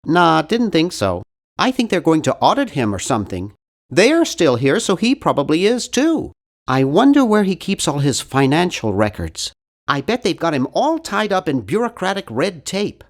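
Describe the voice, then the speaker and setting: A man's voice: a little high, very animated, normal speed. An actor playing a nerdy, earnest, awkward young man in an adventure game, with a geeky, fussy, wryly polite delivery. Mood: worried, scheming. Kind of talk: scripted video game voice lines.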